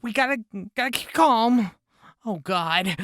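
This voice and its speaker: A woman's voice: fairly high pitch, lively intonation, unhurried, fairly bright tone, no room sound, studio quality. A woman playing an anxious, earnest deputy, ready for trouble, in a post-apocalyptic radio drama. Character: anxious, trigger-ready deputy named Carter.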